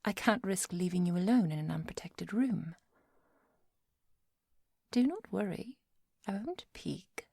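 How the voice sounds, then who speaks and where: A woman playing a kind, protective vampire hunter in a soft, often whispered ASMR roleplay, speaking in a gentle, measured and formal way. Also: on the low side, animated, vocal fry, husky, no room sound, exaggerated voice. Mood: protective, calm. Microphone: close microphone, dry.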